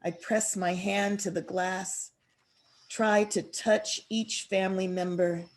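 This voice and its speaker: A woman author presenting and reading her poetry in a measured, expressive way. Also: medium pitch. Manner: measured, deliberate, expressive delivery.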